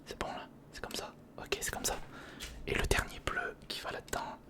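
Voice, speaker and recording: An ASMR creator speaking in a breathy, unvoiced whisper, casually muttering and thinking aloud through a hands-on build. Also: normal speed.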